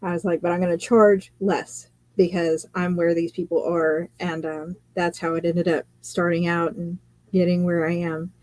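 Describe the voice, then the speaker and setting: Low, mellow-voiced woman author. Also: level tone, moderate pace, no echo, low-quality recording. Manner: chatty storytelling, quotes others, laughs often. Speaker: guest fantasy author and editor. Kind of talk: casual live chat.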